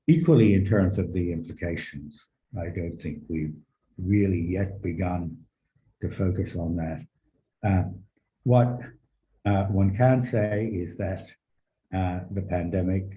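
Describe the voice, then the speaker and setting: A man with a low, mellow voice speaks formally as a panelist on policy. He is deliberate and erudite, often halting before he finishes a phrase. The sound is roomy and echoey. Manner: measured, deliberate, halting with long pauses. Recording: roomy echo with some background noise.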